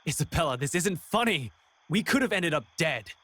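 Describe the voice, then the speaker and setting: A man's voice: on the high side, sing-song, normal speed, pushed and bright, full character voice. An actor playing a rattled, defensive young man in a horror visual novel, muttering and indignant. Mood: indignant, sarcastic. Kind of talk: voiced visual novel dialogue.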